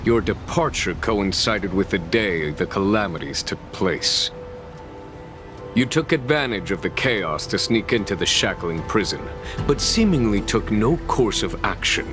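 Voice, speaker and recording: A man's voice: on the low side, lively intonation, unhurried, music in the background. A man voicing a composed, shrewd general in a video game, speaking formally with dry authority. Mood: stern, measured.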